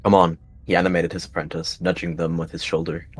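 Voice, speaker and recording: A man's voice: deep voice, completely flat delivery, quick pace, slight background noise. A man reading scripted story narration for an animal fantasy roleplay.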